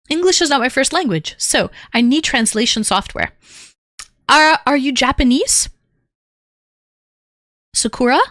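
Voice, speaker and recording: Woman streamer, bright tone, slightly high voice, dry close mic, candid rambling chat. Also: no room sound. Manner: candid, rambling storytelling with many fillers. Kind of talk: casual chat to stream viewers.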